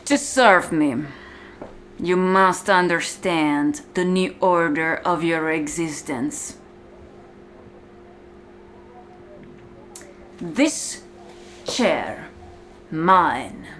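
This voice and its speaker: A woman performing a cold, domineering female warden in an ASMR-style roleplay monologue, deliberate and condescending, speaking straight to the listener with hushed, whispery drops. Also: low voice, a little hiss or hum, heavily acted. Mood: cold, possessive.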